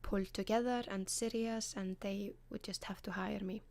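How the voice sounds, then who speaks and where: An Icelandic-accented woman whispers advice close and hushed, in the manner of an ASMR video. Her tone stays level with little rise or fall, and she hesitates often with soft ums. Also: medium pitch, steady tone, moderate pace. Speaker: ASMR advice column host.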